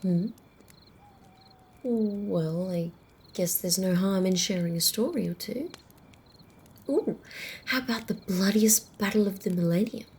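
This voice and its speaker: A woman playing a centuries-old female vampire in an ASMR roleplay, wry, teasing and casually menacing, in a soft, breathy, often whispered voice. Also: medium pitch, slight background noise, close to an everyday voice. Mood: playful, musing. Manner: unhurried, amused, conversational.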